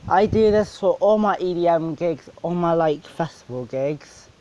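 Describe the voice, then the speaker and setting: A man giving a casual, unscripted equipment walkthrough as a DJ, rambling and halting, with informal, blunt wording. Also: a little high, animated, warm tone, highs cut off. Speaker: DJ presenting his PA setup.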